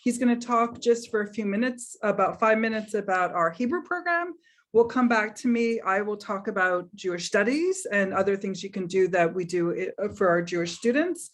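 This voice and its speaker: A woman's voice: medium pitch, normal speed. A woman with a mid-range voice welcomes prospective students on a video call. She sounds upbeat and enthusiastic.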